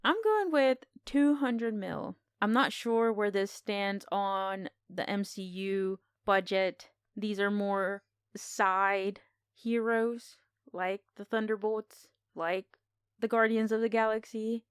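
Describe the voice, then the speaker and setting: A woman's voice: mid-range voice, fairly even intonation, slow pace, smooth, dry recording, studio quality. A woman co-hosting a podcast, talking casually as she gives her review of a film.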